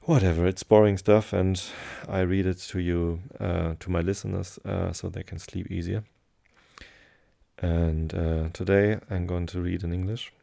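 Deep gravelly man with a German accent. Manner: hesitant, many fillers, slow calm delivery. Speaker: sleep podcast host. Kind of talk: soothing low-voiced reading for sleep.

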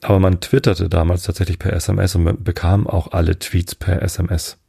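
A man from Germany, hosting a bedtime podcast in a casual, unhurried, meandering monologue, thinking aloud. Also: deep voice, flat delivery, gravelly, warm tone, slightly rough, no echo, studio quality. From northern Germany. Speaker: podcast host.

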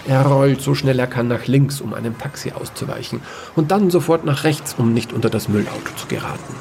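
A man's voice: medium pitch, a little fast, creaky, noisy background. A man reading scripted narration for a science-fiction fairy tale audio story.

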